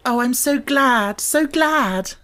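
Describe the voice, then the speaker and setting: A man's voice: extremely high pitch, lively intonation, slow, bright, forceful tone, voice pushed up, heavily acted. A man voicing a stubborn, eager little girl in an audiobook, speaking with earnest determination. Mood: startled, relieved.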